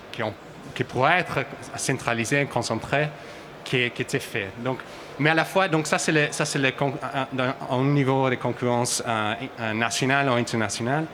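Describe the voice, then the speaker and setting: A man from Australia speaking with an Australian English accent as a researcher on a conference panel, halting and restarting often as he searches for words. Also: medium pitch, bright, forceful tone, a little hiss or hum. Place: conference hall.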